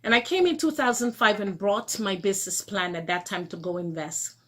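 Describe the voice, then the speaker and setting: A woman's voice: medium pitch, normal speed, fairly bright tone. A middle-aged woman from Guyana hosting her own show, speaking earnestly to viewers with a lozenge in her mouth and a sore throat starting. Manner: earnest, urging, conversational. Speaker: show host. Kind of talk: direct-to-camera talk.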